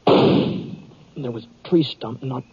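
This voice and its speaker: An actor playing a wounded, plain-spoken gunfighter who narrates haltingly in an old-time radio western. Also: male voice, mid-range voice. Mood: pained, tense. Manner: halting, folksy drawn-out storytelling. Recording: muffled old radio recording with hiss.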